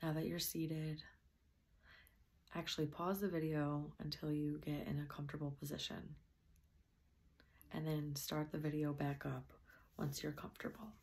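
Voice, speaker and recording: A woman softly and gently leading a guided meditation, at times slipping into a breathy near-whisper. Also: deep voice, flat delivery, moderate pace, long pauses.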